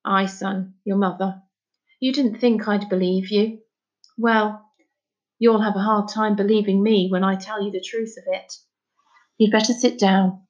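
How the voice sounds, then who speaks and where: A woman's voice: mid-range voice, little pitch movement, unhurried, a little mellow, dull audio, natural voice. A woman voicing a stern, troubled father in a storybook, with blunt, scolding, folksy speech. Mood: resigned, confiding. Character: stern, anguished Scottish father, gruff and scolding.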